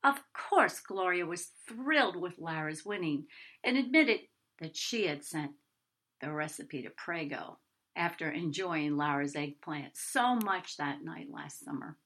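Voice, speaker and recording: A woman narrates her own written short story with expressive, storytelling delivery. Her voice is bright and forward, with a very lively rise and fall. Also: low voice, unhurried.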